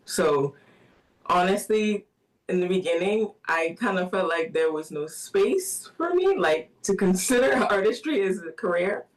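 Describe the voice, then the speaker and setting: A woman from the Bahamas, answering interview questions softly, with many fillers and small laughs. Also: fairly low pitch, expressive, a little slow. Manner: soft-spoken, many fillers and little laughs. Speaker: guest artist. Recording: voice somewhat distant from the mic.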